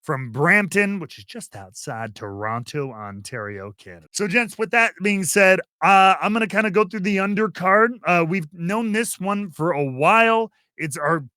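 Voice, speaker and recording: A man hosting a wrestling podcast, previewing events in a theatrical announcer style with drawn-out emphasis. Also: high voice, lively intonation, pushed and bright, dry recording, clean studio sound. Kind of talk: animated show introduction and wrestling preview.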